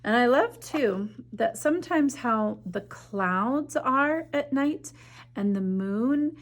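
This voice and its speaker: A woman teacher reading a picture book aloud in a gentle, measured way, then chatting enthusiastically about its illustrations. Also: a little high, animated. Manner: gentle, measured reading with soft drops in volume; enthusiastic, chatty when discussing the art. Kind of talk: slow storybook read-aloud, then art discussion.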